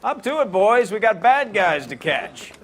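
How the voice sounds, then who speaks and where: A 1940s American police chief from a detective game. His voice sits a little high, his orders are clipped and full of hard-boiled slang. There is clear noise in the background. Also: sing-song, measured pace, a bit bright, exaggerated voice. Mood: brisk, wry. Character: brisk 1940s American police superior handing out cases. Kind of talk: video game acting, 1940s police drama.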